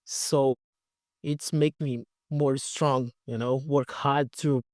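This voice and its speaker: Male interview guest, Brazilian accent, native Portuguese speaker, halting casual storytelling with repeated words, dry close recording. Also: medium pitch, steady tone, a little mellow, no echo. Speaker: podcast guest, radio station owner.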